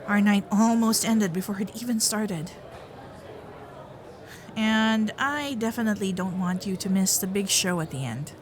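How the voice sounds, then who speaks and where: A woman's voice: mid-range voice, a little slow, noisy background, lightly acted. A woman voicing a sweet, flustered young fox-spirit girlfriend in an ASMR roleplay, with a nervous, stammering, caring manner. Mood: relieved.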